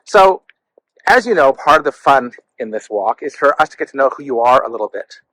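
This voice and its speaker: Bright-voiced, effusive male interview host. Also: medium pitch, very animated, fairly quick, low-quality recording. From California, lived in the Bay Area. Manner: gushing, effusive. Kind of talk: casual walk-and-talk interview.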